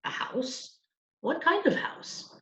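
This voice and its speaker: A woman voicing a mild, easygoing father in a children's storybook, low-key and matter-of-fact. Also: takes it slowly, fairly bright tone. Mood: puzzled. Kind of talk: children's storybook character reading. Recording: roomy, slightly dull sound.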